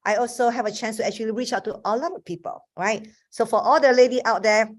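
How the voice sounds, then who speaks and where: A woman's voice: a little high, fast talker. A woman in her fifties from Malaysia, a native Chinese speaker with a Malaysian accent, giving an earnest motivational talk on a video call with frequent restarts. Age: 50s. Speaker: webinar speaker.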